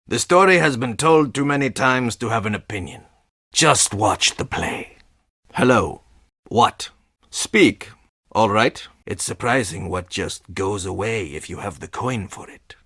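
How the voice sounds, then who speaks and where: A menacing, world-weary game character, speaks slowly in a low, husky voice. He is terse and deadpan, with an ominous edge. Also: dry recording, studio quality, exaggerated voice. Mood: angry, commanding. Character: menacing, world-weary male stagehand guarding a theatrical underworld. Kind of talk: video game character dialogue.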